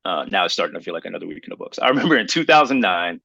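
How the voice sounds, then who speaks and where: Bright-voiced man telling rambling stories. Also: sits low, sing-song, racing, creaky, no room sound. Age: 30s. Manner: rambling, emphatic storytelling with slangy phrasing. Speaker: podcast co-host. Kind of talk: casual podcast chat about hip hop.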